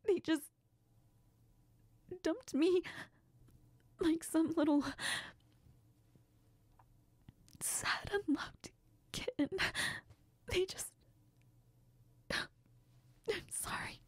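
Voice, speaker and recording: A woman playing a heartbroken, self-doubting young best friend in an intimate audio role-play, tearful and sniffling, speaking haltingly in a near whisper. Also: very high voice, no echo, exaggerated voice. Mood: crying, hurt.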